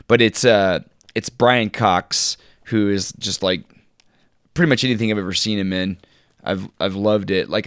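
A man co-hosting a podcast, chatting casually in an informal, halting way and sounding enthusiastic about his favorites. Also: on the low side, fairly bright tone, smooth, dry recording, clean studio sound. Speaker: podcast co-host. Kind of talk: casual chat, recommending shows and books.

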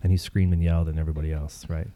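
A man's voice: rumbling low, little pitch movement, very fast, mellow, no echo. A man chatting casually, rambling and joking, with lots of fillers. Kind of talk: casual chat.

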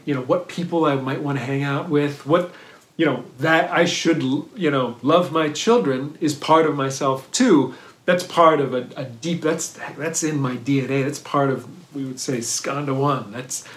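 A man giving a thoughtful dharma talk on mindfulness, pausing and restarting often and checking in with "right?". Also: on the high side. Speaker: meditation teacher. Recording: roomy echo.

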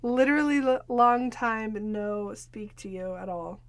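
Casual podcast co-host, woman with a cold-stuffed nasal voice, a touch high, dry close recording. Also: unhurried, no room sound. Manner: chatty, laughs often, trails off mid-sentence. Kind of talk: casual chat. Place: home recording booth. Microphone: studio mic over video call.

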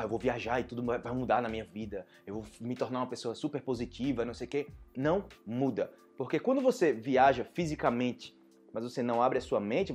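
Brazilian male teacher, casual lesson to camera, lively delivery, husky voice, soft music underneath. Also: medium pitch. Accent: Brazilian Portuguese. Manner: lively, animated, acts out students' voices. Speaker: Portuguese teacher for foreigners.

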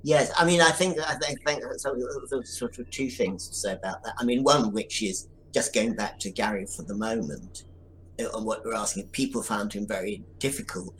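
A man's voice: fairly low pitch, moderate pace, a bit bright, slight background noise, low-quality recording. A man talking reflectively as a writer interviewed on a podcast, thinking aloud with restarts. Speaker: writer of an audio drama.

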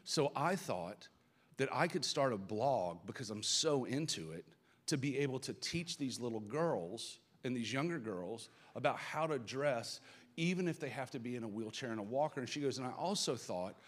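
A pastor with a Southern American accent preaches a live Sunday sermon. His voice sits at an ordinary middle pitch for a man. He talks earnestly and pushes into loud, fervent emphasis as his points land. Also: expressive, normal speed. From Southern United States.

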